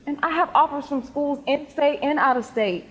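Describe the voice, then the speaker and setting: A woman playing a determined, defensive high school girl athlete in a stage play. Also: moderate pace, close to an everyday voice. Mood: concerned, insistent. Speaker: stage actor. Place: theatre stage, recorded in the hall. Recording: echoey hall with long reverb.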